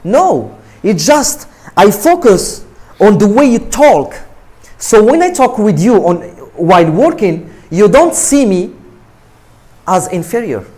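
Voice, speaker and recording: Lively-pitched man giving an emphatic talk. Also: slow. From Morocco. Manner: emphatic, repetitive, asks "right?" often. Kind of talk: motivational talk to students.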